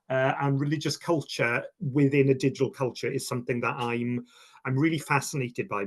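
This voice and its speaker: A man's voice: mid-range voice, breathy edge. Man giving a research talk. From Wales. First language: Welsh. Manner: earnest, many fillers and restarts. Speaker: presenter of research. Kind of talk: online presentation talk.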